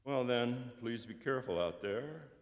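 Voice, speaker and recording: A man's voice: medium pitch, lively intonation, measured pace, pitched above the performer's own voice, lightly acted. A man voicing a folksy woman store clerk. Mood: friendly. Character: friendly middle-aged woman store clerk. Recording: long church reverb.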